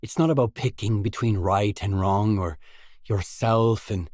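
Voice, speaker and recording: An actor playing an earnest, determined stalker in a post-apocalyptic video game, speaking in a measured, solemn way. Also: male voice, fairly low pitch, a little mellow, no echo, studio quality. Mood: solemn, resolute. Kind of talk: scripted video game dialogue.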